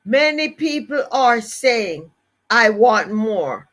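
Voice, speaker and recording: Older Jamaican woman, motivational speaker, slow and emphatic, slightly high voice, repeats key lines. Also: big pitch swings, fairly bright tone. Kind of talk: motivational live-stream talk.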